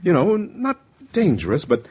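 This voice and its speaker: 1940s radio noir: secretive American husband, stammering and guilty, swinging pitch, dull vintage sound. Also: male voice, huge pitch swings, moderate pace, a little mellow, breathy edge, exaggerated voice. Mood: feigned reluctance. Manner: nervous, hesitant, stammering. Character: anxious guilty husband, secretive murderer, narrating his crime.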